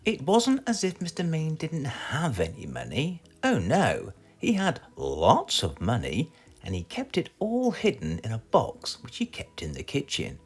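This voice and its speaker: A man's voice: fairly high pitch, wildly swooping pitch, unhurried, husky, soft background music. A man with a British accent reading a children's story aloud as a storyteller.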